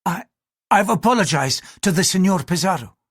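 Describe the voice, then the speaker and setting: A man's voice: sits high, animated, moderate pace, a bit bright, slightly rough, no room sound, clean studio sound, pitched above the performer's own voice, exaggerated voice. A man voicing a formal, polite Japanese noblewoman who speaks with strained courtesy.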